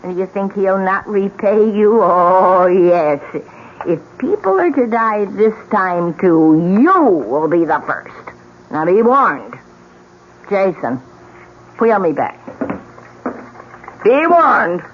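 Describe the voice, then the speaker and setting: Ominous old widow, muffled radio. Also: female voice, mid-range voice, very animated, slow pace, pauses often, a little hiss or hum. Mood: menacing. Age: older. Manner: ominous, imperious, dramatic. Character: bitter, menacing old widow pronouncing warnings. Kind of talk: radio drama acting.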